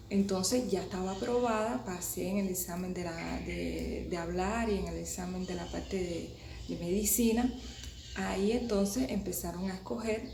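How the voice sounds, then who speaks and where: A woman in her thirties with a Cuban Spanish accent speaks casually to camera, pausing and restarting her sentences often. Her mid-range voice has a gravelly edge. The recording sounds roomy and echoey, with steady background noise. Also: medium pitch. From Cuba. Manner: chatty, with many restarts and hesitations. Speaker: vlogger.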